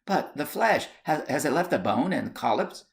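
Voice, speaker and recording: A man's voice: on the high side, very animated, moderate pace, creaky, voice pushed up. A man voicing a fretful, overwrought wife in a storybook tale, exclamatory and breathless. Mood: alarmed.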